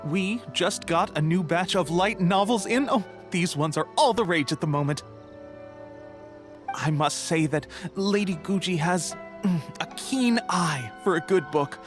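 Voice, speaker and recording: A man's voice: high voice, expressive, faint music behind. A man voicing a polite, chatty bookshop clerk in an English anime game, helpful and deferential, with a hesitant conversational manner. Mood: friendly, gossipy. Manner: hesitant, conversational, deferential, many hums and pauses.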